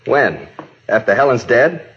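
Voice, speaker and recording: An actor playing a distraught, exhausted, bitter husband in an emotional 1950s radio drama style. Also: male voice, mid-range voice, big pitch swings, a little slow, fairly bright tone, slightly rough, exaggerated voice. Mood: angry, frustrated. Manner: anguished, repetitive, emotional. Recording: dull, muffled 1950s radio recording.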